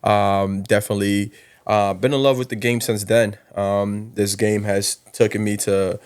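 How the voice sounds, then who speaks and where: Low-voiced man in casual podcast chat. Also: little pitch movement, measured pace, clear, resonant tone. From South Sudan. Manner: informal, slangy, frequent "you know". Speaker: guest, college basketball coach.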